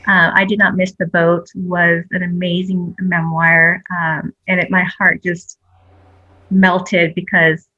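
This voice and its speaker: A woman's voice: on the low side, little pitch movement, takes it slowly, sharp tone, no echo, lo-fi sound. A woman speaking casually as a guest novelist in a panel conversation. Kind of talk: casual chat.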